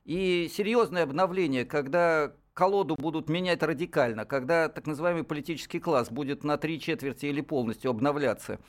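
A man's voice: a little high, a little fast, few pauses. A man in his sixties co-hosting a radio talk show, speaking in a lecturing, professorial way. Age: 60s. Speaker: radio co-host.

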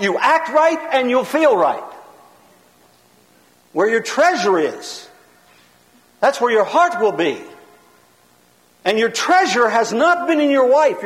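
A man with a high, carrying voice teaches like a preacher, loud and emphatic, hammering points home in rhythm. His words ring out with a long reverb, as if he is in a large hall. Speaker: pastor teaching a seminar. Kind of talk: sermon-style teaching lecture.

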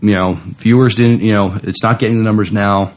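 A man's voice: on the low side, fairly even intonation, a little fast. A man co-hosting a podcast, giving casual news commentary. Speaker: podcast co-host. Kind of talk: casual news commentary, some reading aloud.

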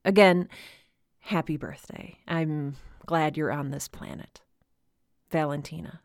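A woman playing a wise, quirky teacher reading out her letter in audio fiction, deliberate and gently instructive. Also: low voice, no echo, clean studio sound. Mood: tender, wry.

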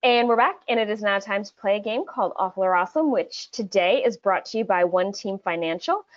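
A woman hosting a casual game segment in a chatty podcast style, playful and quick to laugh as she talks. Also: mid-range voice, expressive, highs cut off. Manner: playful, laughs often while talking. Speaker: podcast host.

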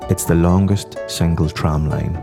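An Irish man hosting a podcast, reading scripted narration in a measured, informative way. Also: low-pitched, fairly even intonation, creaky. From Ireland. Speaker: podcast host. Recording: music playing behind the voice. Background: guitar music.

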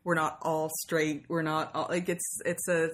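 A woman's voice: deep voice, little pitch movement, a little slow. A woman from Sweden who spent part of her childhood in the United States, chatting casually in an interview in a rambling, explanatory way. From Sweden, with childhood years in the United States. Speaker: podcast co-host being interviewed.

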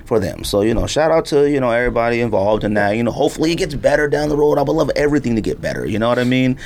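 Animated, slangy male podcast host. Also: medium pitch, racing, slight background noise. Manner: slangy, animated storytelling with acted-out quotes. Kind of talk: casual chat. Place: indoor podcast studio.

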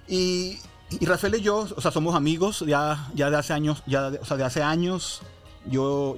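A man who is a pastor, interviewing guests on a church radio show in a chatty, warm way with many restarts. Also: on the high side.

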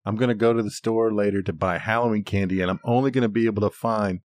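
A man's voice: on the low side, fast, dry recording, studio quality. A man co-hosting a podcast in casual banter, given to exasperated, exaggerated ranting. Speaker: podcast co-host.

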